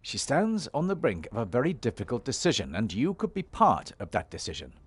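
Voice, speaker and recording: An actor playing a calm, firm warrior hero and peacemaker in a fantasy game, speaking in a measured, earnest way. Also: male voice, mid-range voice, breathy edge.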